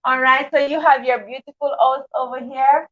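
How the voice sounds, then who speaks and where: A woman's voice: sits high, fairly even intonation, moderate pace, a bit bright. A woman enthusiastically hosts a children's livestream, greeting viewers and often repeating her phrases. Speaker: host of children's live read-aloud show. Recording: dull, distant livestream microphone.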